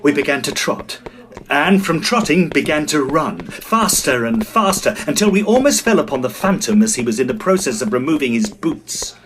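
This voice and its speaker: A man playing an easily flustered Edwardian gentleman ghost hunter, earnest and old-fashioned, in a tongue-in-cheek audio drama. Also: fairly high pitch, big pitch swings, normal speed, slight background noise, poor audio. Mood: dramatic storytelling.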